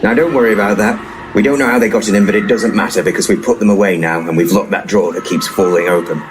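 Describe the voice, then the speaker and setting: An actor playing a pompous, eccentric paranormal investigator, formal and deadpan, in a comic audio play. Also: male voice, sits low, quick pace, noisy background, lightly acted. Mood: breezy. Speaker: actor in an audio comedy drama. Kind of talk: audio play dialogue, dry comedy.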